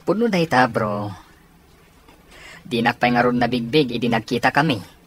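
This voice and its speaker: An actor playing a soldier talking with a comrade in a radio drama, husky, with an Ilocano accent. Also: male voice, medium pitch, animated, moderate pace, a little hiss or hum, natural voice. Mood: wistful. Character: soldier recalling his long-lost cousin to a comrade.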